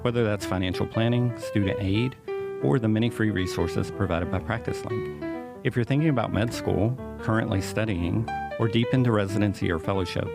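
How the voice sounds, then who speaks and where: Low gravelly man hosting a podcast. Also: brisk, loud music behind the voice. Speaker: podcast host. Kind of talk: scripted podcast introduction and interview.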